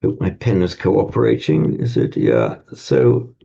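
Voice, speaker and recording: Male language teacher, deep gravelly voice, mellow tone, halting delivery, muffled lo-fi recording. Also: sing-song. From parents from Ireland. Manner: hesitant, repeats and restarts words. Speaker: Sanskrit teacher. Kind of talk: informal class lecture. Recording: dull lo-fi sound.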